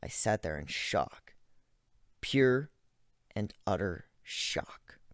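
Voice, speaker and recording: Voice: low-pitched, expressive, extremely slow, no echo. Dry-sounding amateur dramatic story reader. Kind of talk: dramatic first-person narration of fan fiction.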